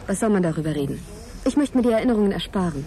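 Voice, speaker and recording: An actress playing a guarded, sceptical middle-aged scientist in a naturalistic radio drama, direct and questioning in conversation. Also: female voice, mid-range voice, lively intonation, rapid-fire, lightly acted. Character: guarded divorced female scientist, sceptical, frank, wary. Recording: crowd chatter behind, café scene. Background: café crowd chatter.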